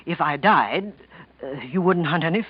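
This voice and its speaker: An actor playing a polite, scheming bookkeeper who feigns sincerity in a 1940s radio drama. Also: male voice, on the high side, lively intonation, moderate pace, breathy edge. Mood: earnest, confiding. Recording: dull vintage 1940s radio recording.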